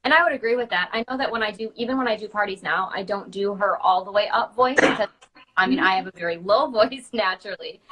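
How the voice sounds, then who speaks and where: A woman's voice: medium pitch, quick pace, bright, forceful tone, low-quality recording. A woman co-hosting a casual chat in an informal, agreeable, giggly way. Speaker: children's party princess performer, co-host.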